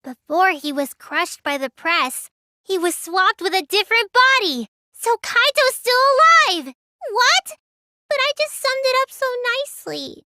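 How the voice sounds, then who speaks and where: A woman's voice: very animated, a little slow, bright, forceful tone, dry recording, exaggerated voice. Sulky girlish teen mage for a video game, voice swoops around a lot, super dry recording. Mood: sulky, matter-of-fact, then startled, confused. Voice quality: high, girlish. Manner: whiny, stammers when startled. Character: small, childlike teenage girl mage, sulky and excitable. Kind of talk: English game dub voice lines.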